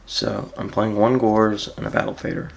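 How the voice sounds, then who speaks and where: A gravelly, raspy man who sounds like he just rolled out of bed, his voice sitting on the lower side. He talks through his card deck in a relaxed, hesitant way, filling pauses with ums and letting phrases trail off quietly. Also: slight background noise, rough recording. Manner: laid-back, hesitant, frequent ums, trails off softly. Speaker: card game player presenting his deck. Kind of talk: casual deck walkthrough.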